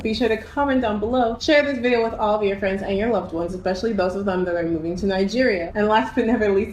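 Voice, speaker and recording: A woman, talking to camera in a chatty, upbeat vlog with slangy asides. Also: medium pitch. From United States. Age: 20s. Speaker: vlogger. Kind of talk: casual vlog talk to camera. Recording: echoey room with faint noise.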